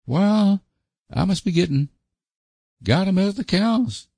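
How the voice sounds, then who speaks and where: A man's voice: sits high, lively intonation, takes it slowly, creaky, darkish tone, slightly rough, dry recording, voice pushed up, heavily acted. A man voicing a lank, solemn young farmhand suitor in a storybook, with a blunt rustic drawl. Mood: stubbornly hopeful. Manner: drawling rustic dialect, terse and abrupt. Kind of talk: audiobook character voice.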